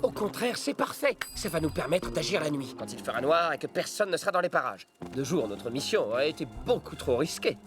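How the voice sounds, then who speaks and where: A man's voice: on the high side, sing-song, brisk, few pauses. A man voicing a cocky, scheming cartoon fox, the self-assured leader of an animal gang. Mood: confident. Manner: self-assured, boastful, explaining plans. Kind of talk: cartoon voice acting. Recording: cartoon music behind.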